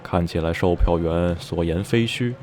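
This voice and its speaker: A man's voice: deep voice, normal speed, a little mellow, a little hiss or hum, natural voice. A man playing a brooding, obsessed narrator recounting eerie memories in a radio drama. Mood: measured narration. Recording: radio drama with music underneath.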